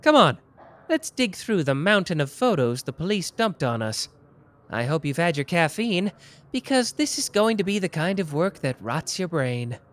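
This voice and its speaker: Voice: mid-range voice, very animated, normal speed, lightly acted. A performer voicing a smug, boastful young male detective in an ASMR roleplay, teasing and playful.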